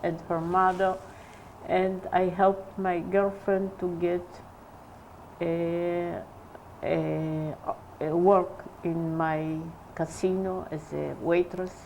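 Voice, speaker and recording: An older woman tells her story slowly in an interview. Her voice is mellow and low in brightness. She stops often to search for the right English word, and a faint hiss runs under the recording. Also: little pitch movement, gravelly, muffled recording. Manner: halting, searching for English words. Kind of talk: oral history testimony.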